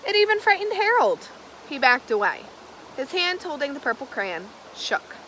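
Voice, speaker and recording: A woman reads a children's picture book aloud in a high, bright voice. Her delivery is expressive and very animated, with key words stressed as the story is told. There is some faint noise behind her. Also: moderate pace, low-quality recording. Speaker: storybook reader. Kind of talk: children's read-aloud, scripted narration.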